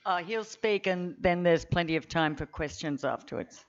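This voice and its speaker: A woman's voice: fairly low pitch, moderate pace. Woman moderator handing over to a speaker. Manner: loose, chatty introduction with fillers and laughs. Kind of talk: introducing a speaker. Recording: dull lo-fi recording.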